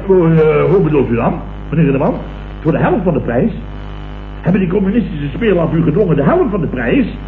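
An actor playing a pompous, blustering company boss in a vintage radio comedy, vain, excitable and often repeating himself. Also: male voice, a little high, fairly quick. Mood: flustered, incredulous. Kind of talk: 1960s Dutch radio comedy acting. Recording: muffled, hissy, echoey vintage radio recording.

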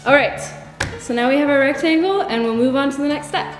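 A woman's voice: high-pitched, a little fast. A young woman guiding a baking demonstration in a large home kitchen, explaining each step in an upbeat, instructive way. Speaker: guest baker. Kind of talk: cooking demonstration. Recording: echoey kitchen with background music.

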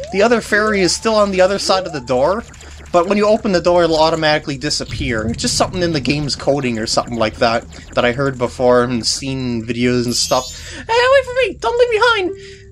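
A woman giving gameplay commentary as she plays, with joking, sarcastic asides. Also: low voice. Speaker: video game walkthrough commentator. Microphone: compact camera microphone. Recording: game music behind voice.

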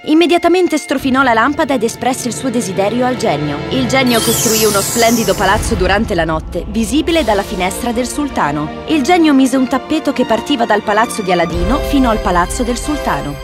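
A woman's voice: on the high side, animated, brisk, hardly any pauses, over music. A woman storyteller giving scripted narration of a children's fairy tale.

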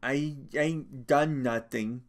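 A man reading an audiobook, voicing a big, slow-witted, childlike ranch hand who stammers and halts as he speaks. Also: medium pitch, voice pushed up. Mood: pleading. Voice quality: stammering, halting.

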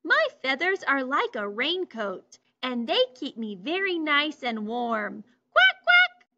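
A woman reading aloud as a cozy little duck, with a very high quack. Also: huge pitch swings, takes it slowly, very noisy, full character voice. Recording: muffled lo-fi recording.

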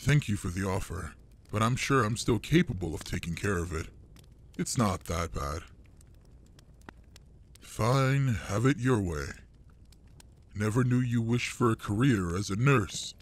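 Man voicing a slow, low-pitched older monster adventurer. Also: animated. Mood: teasing. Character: gruff older male orc-like monster adventurer, fond, teasing. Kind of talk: intimate audio roleplay acting.

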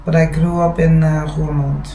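A woman's voice: deep voice, little pitch movement, normal speed, dark tone, a little hiss or hum. A woman in her thirties with a Dutch accent, chatting casually as the host of a vlog. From Limburg, Netherlands. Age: 30s. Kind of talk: casual chat. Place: living room of a home salon, indoors. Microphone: camera a few metres away. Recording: roomy sound with background music. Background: music.

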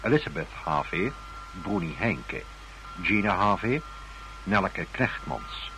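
A man's voice: low-pitched, animated, slow, noisy background. A man working as a radio announcer, reading scripted credits in an old recording.

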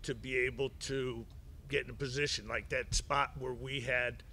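A middle-aged coach answers reporters at a table microphone in a press room, with a gravelly edge and a bright, forceful tone. He talks in a measured way, often restarting and doubling words, over faint background noise. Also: mid-range voice, flat delivery. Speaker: head baseball coach. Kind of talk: postgame press conference answers. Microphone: table mic in front of him.

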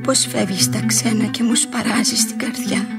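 A woman's voice: on the high side, steady tone, vocal fry, loud music behind the voice. An actress playing a tender, anguished young woman in love, declaiming lyrical verse in a radio play. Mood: anguished, pleading. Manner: declamatory, poetic.

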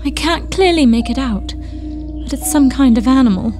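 A woman playing an anxious, gentle Victorian sister in an audio drama, speaking earnestly and with foreboding. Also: sits high, animated, moderate pace, music in the background. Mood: haunted, fearful.

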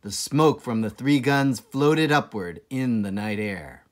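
A man narrating a children's storybook aloud in a dramatic, deliberate manner with suspenseful pauses. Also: medium pitch, expressive. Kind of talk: expressive children's storybook reading.

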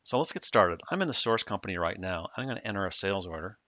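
Male software demo narrator, lowish pitch, crisp forward tone, plain step-by-step delivery. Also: lively intonation, fast, no echo. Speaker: software demonstrator. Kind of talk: scripted tutorial narration.

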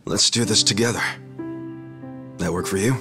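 An actor playing an earnest, loyal middle-aged hero in a dramatic video game. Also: male voice, on the low side, normal speed, gravelly, music in the background. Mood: reassuring, steady. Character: earnest, loyal underdog hero, a man around forty.

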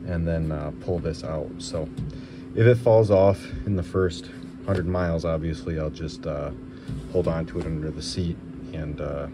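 A man's voice: sits low, noisy background. A man casually narrating his truck repair as he works in a large garage workshop, thinking aloud on a body camera. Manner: relaxed, thinking aloud while working. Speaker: vlogger restoring an old truck. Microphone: handheld or body camera.

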